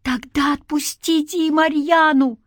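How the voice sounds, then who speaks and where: A woman's voice: high voice, steady tone, a little slow, dry recording, clean studio sound, voice pushed up. A woman voicing a grieving, defiant young village man in a storybook, with an anguished, emotional delivery. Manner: emotional, breaking from whisper into shouting.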